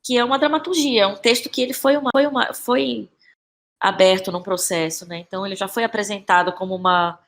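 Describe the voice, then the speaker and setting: A woman with a Brazilian accent and a mid-pitched voice talks in a casual, reflective interview style. She feels her way through sentences, repeating phrases and often checking in with short tag questions. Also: fairly bright tone. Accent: Brazilian Portuguese. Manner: halting, searching for words, repeats phrases, frequent tag questions. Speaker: playwright and actress, podcast guest.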